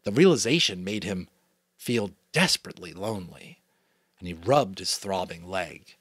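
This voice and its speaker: A man with a New England American accent giving an expressive dramatic reading of a novel, with chatty asides to listeners. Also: low voice, moderate pace, husky, dry recording, studio quality. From New England, United States. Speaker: audiobook reader.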